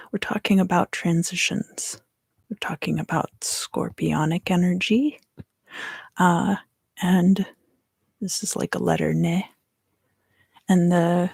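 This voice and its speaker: Hushed, husky woman whispering ASMR. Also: fairly low pitch, fairly even intonation, takes it slowly, pauses often, dry recording. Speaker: tarot reader and ASMR host. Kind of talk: whispered ASMR tarot reading.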